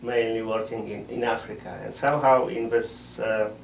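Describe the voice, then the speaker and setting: A middle-aged man, a missionary priest answering interview questions in a measured way, often pausing to find words. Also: lowish voice, fairly even intonation, gravelly, a little hiss or hum. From Poland. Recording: roomy sound from a distant mic.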